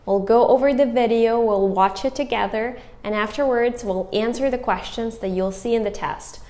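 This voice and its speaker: A woman walks viewers through listening test answers. Her voice sits a little high, and she repeats each option methodically as she explains it. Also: normal speed, mellow. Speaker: English test prep teacher.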